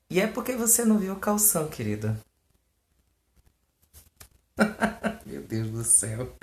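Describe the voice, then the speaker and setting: Animated Brazilian man in an echoey room. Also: medium pitch, gravelly. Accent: Brazilian Portuguese. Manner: animated and exasperated, with mocking asides. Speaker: fan page host answering questions. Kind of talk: casual chat to camera. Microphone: phone recording.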